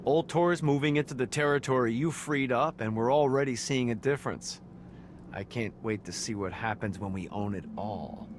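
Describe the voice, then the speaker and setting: An actor playing a confident, matter-of-fact ally giving mission updates in a video game. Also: male voice, medium pitch, normal speed, noisy background, close to an everyday voice. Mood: pleased, businesslike. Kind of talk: video game cutscene acting.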